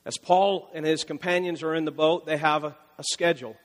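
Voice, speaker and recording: Male pastor, high voice, conversational sermon delivery with repetition, big reverberant sanctuary. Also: fairly even intonation, brisk. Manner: conversational preaching with repeated phrases and emphatic rises.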